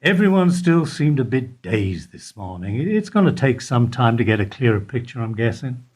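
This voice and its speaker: Male actor doing radio play bank worker guy, notices everything, pointed and measured, lively pitch, bit husky. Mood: guarded. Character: Frank, observant low-ranking bank employee, quietly probing.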